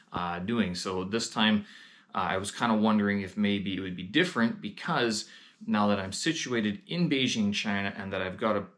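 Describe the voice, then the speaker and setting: Low-voiced man vlogging with many fillers. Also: a bit bright. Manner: hesitant, many "uh" fillers and restarts. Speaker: vlog host. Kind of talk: casual vlog talk. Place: lives in Beijing.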